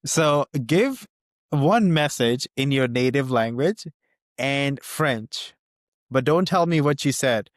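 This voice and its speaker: A man with a fairly average-pitched, smooth voice hosts a casual interview. He speaks in a halting way, circling back to rephrase, and the sound is dry and close. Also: lively intonation, dry recording. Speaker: webisode host.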